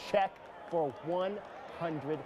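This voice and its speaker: A man's voice: on the high side, very slow, muffled recording, a crowd in the background, background noise. A man reading scripted sports news as a television anchor. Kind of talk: scripted TV sports newscast narration.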